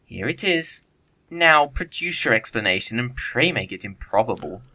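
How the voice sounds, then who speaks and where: A man's voice: mid-range voice, very animated, normal speed, sharp tone, full character voice, rough recording. A man playing a flippant, witty young upper-class bachelor in a period comedy audio play, with an arch, theatrical delivery. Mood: teasing, insistent.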